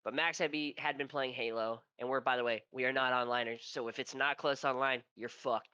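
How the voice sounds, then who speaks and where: A man's voice: medium pitch, fairly even intonation, brisk, bright, forceful tone, clear, resonant tone, dry recording, highs cut off. A man co-hosting a casual esports podcast, with a nasal, stuffy voice from allergies and a profane, rambling way of telling stories. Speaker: podcast co-host.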